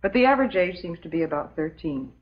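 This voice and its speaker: An actress playing a calm, motherly substitute teacher with an American accent, giving a measured, patient lesson in an old educational film. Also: fairly low pitch, lightly acted. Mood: patient, instructive. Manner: measured, patient, instructional, prim. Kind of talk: scripted classroom lecture, old educational film. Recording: dull, muffled old educational film soundtrack.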